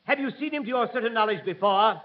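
An old, muffled radio drama presents a forceful courtroom prosecutor. The voice is high and husky, rolling out oratory and pressing with insinuation. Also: male voice, lively intonation, fast. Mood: pressing, insinuating. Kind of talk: mid-century radio drama acting.

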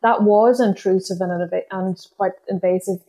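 Irish woman, native Irish speaker, poet guest; reflective, halting delivery with frequent restarts. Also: medium pitch, steady tone, warm tone. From Ireland. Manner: thoughtful, hesitant, many restarts and fillers. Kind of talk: reflective interview conversation.

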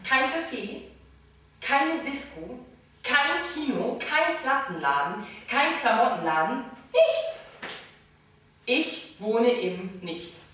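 A woman's voice: mid-range voice, fairly bright tone, recorded from a distance. A woman playing a wry seventeen-year-old girl on an echoey stage. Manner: wry, chatty, addresses the audience with questions. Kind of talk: stage monologue to the audience.

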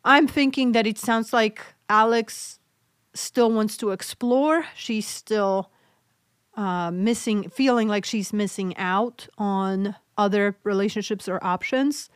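A woman with a Polish accent talks frankly on a podcast, her voice smooth and clear. She jokes and thinks aloud, restarting sentences as she works through an answer. The sound is dry and close. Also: medium pitch, slow, no room sound. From Poland. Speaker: podcast co-host, mental health nurse practitioner. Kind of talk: casual podcast chat.

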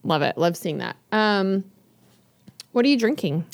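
A woman's voice: medium pitch, big pitch swings, unhurried, gravelly, no room sound. A woman co-hosting a podcast, chatting casually and rambling with many fillers and restarts while recommending books. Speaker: podcast co-host. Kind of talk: casual chat about books.

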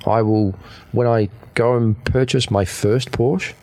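A man's voice: medium pitch, fairly even intonation, unhurried, darkish tone, dry recording. A forty-year-old man, a podcast guest speaking frankly and reflectively. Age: 40s. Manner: frank, reflective, blunt wording. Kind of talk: casual podcast chat.

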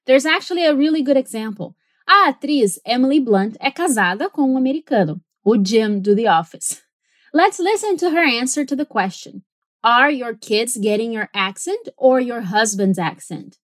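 A Brazilian woman hosting a podcast lesson, explaining clearly and switching between languages. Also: a little high, dry recording, studio quality. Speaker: podcast host and English teacher.

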